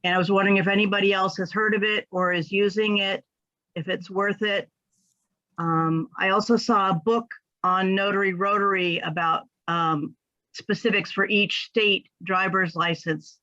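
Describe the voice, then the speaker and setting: A woman's voice: medium pitch, steady tone, normal speed, pushed and bright, dry recording. Woman caller on dull, dry audio. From Northern California. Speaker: caller asking a question. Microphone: video call.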